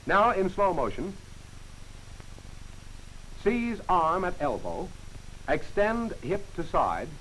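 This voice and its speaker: A man giving scripted instructional narration for a training film, in crisp, clipped commands. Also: high voice, very animated, slow pace, pauses often, a bit bright, low-quality recording. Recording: hissy old film soundtrack.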